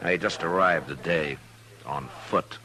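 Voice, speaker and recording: An actor playing a firm, weary American frontier marshal, terse and dry, in an old-time radio western. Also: male voice, very deep voice, measured pace, sharp tone, exaggerated voice. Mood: dry, calm.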